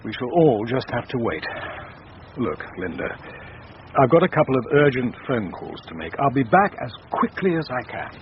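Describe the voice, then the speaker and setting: A man's voice: medium pitch, sing-song, normal speed, lightly acted. An actor playing a restrained, reassuring middle-aged father in a radio drama. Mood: guarded. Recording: dull radio recording, faint noise.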